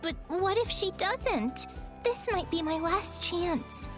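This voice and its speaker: Earnest game child, tiny very high voice, unsure, faint music. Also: female voice, expressive, slow pace, exaggerated voice. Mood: worried. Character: gentle, unsure little girl in a fantasy game.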